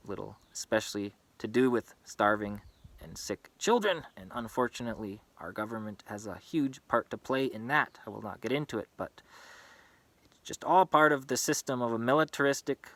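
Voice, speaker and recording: A man's voice: medium pitch, a bit bright, no room sound. A man who grew up in East Africa, talking in an earnest, impassioned, unscripted monologue to his own channel. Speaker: vlogger.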